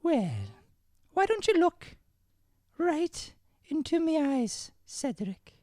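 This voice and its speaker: High-voiced, coy Irish girl, played by a woman. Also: big pitch swings, slow, warm tone, dry recording, studio quality, heavily acted. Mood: coy, confident. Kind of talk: improvised old-time radio comedy play.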